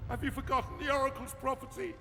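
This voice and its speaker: In a long, ringing hall with music behind, a scheming, vain Roman emperor in a game. The voice sits very high for a man, and the manner is theatrical and imperious, with cowardice underneath. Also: fairly even intonation, fast. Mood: panicked pleading. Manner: theatrical, imperious, swinging from pleading to shrieking. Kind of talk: dramatic video game cutscene acting.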